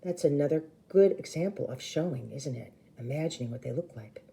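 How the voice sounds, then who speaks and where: Very mellow, lower-voiced woman reading aloud. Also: expressive, brisk, creaky. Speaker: teacher reading a novel aloud to children. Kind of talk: expressive storybook read-aloud with brief explanations.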